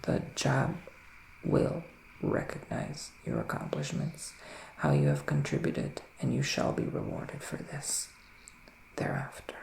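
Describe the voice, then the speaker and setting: A man speaking in a hushed, half-whispered voice with pauses between phrases, playing a soothing, mystical forest witch in an ASMR tarot reading. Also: extremely low pitch, wildly swooping pitch, creaky. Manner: unhurried, pausing between short phrases. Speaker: ASMR roleplay performer. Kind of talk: ASMR psychic reading roleplay. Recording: roomy echo, faint background noise.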